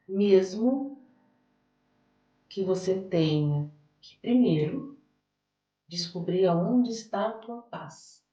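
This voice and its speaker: Woman, Brazilian accent, lowish voice, explanatory card-reader delivery, emphatic repetition, echoey room, music behind. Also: warm tone, lo-fi sound. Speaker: podcast guest, oracle card reader and holistic therapist. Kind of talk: card reading and interview answers.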